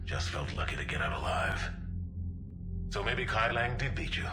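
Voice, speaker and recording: An actor playing a blunt, gruff veteran male military leader in a video game cutscene. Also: rumbling low, lively intonation, normal speed, music in the background. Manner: blunt and rough-spoken. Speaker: resistance leader.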